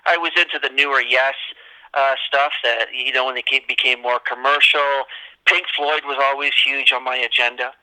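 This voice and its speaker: Male, forties, Canadian accent. Musician guest in casual interview, rambling storyteller, very bright and forceful tone. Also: medium pitch, steady tone, moderate pace, rough recording.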